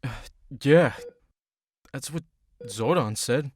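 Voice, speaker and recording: An actor playing an earnest young male hero, guarded and halting, in an audio drama. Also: medium pitch, no room sound. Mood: subdued.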